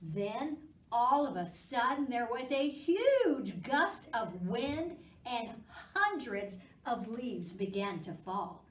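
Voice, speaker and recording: A woman with a fairly high, lively voice hosts a children's storytime. She reads and chats at an unhurried pace, coaxing young listeners along. The recording is echoey and a little distant. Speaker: library storytime host. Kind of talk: children's storytime read-aloud and chat. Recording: echoey room, distant microphone.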